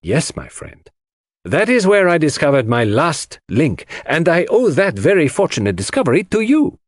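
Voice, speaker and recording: Male narrator as a clever confident detective, husky, animated, deliberate, theatrical, audiobook drama. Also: fairly high pitch, dry recording, clean studio sound, voice pushed up. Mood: confident, explanatory. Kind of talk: audiobook character dialogue.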